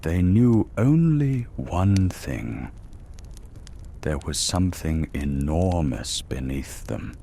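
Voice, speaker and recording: A man narrating a bedtime sleep story in a soft, hushed way, drawing out his phrases and sometimes dropping to a whisper. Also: deep voice, very animated, takes it slowly, creaky, slight background noise.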